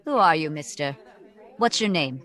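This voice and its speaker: A woman's voice: deep voice, lively intonation, normal speed, a bit bright. A woman playing a tough, burly health club owner and former wrestler, brusque and no-nonsense, in a detective audio drama. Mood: brisk, businesslike.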